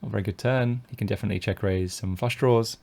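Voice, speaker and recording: A man from the UK with a lowish, gravelly voice talks through his own poker hands on a live stream. He thinks out loud, full of fillers and restarts, as he weighs each decision and reads out viewer messages. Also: fast.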